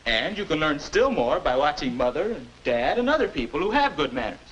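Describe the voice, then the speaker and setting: A man's voice: fairly high pitch, very animated, brisk, fairly bright tone, slightly rough, highs cut off, full character voice. An American actor in his twenties playing a patient, encouraging older self instructing a boy in 1950s educational film style. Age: 20s. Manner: instructive, encouraging, neatly spoken. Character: patient, upbeat 21-year-old older self mentoring a boy. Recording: noisy old 1950s film soundtrack.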